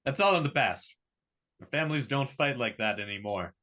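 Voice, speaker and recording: A man's voice: medium pitch, lively intonation, normal speed, bright, forceful tone. A man reading the part of an awkward, privileged young heir in a drama table read, talking in a casual, rambling way. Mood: defensive.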